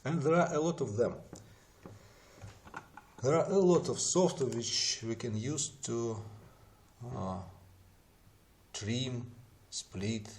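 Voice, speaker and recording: A man's voice: medium pitch, takes it slowly, pauses often, a little mellow. Non-native English speaking man presenting a tutorial. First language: not English. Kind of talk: explanatory tutorial narration.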